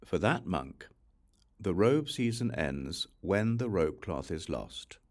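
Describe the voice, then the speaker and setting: A man reading a scriptural audiobook in a measured, reciting manner, breaking sentences into short phrases. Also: low-pitched, big pitch swings, a little mellow. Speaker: audiobook reader.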